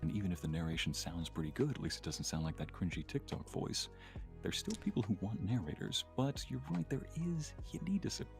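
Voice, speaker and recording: A man's voice: sits low, expressive, a little fast. A man chatting casually as a guest on a livestream, with an easygoing, joking, conversational manner. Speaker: guest ASMR narrator on a stream. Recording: clear music behind the voice. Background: music.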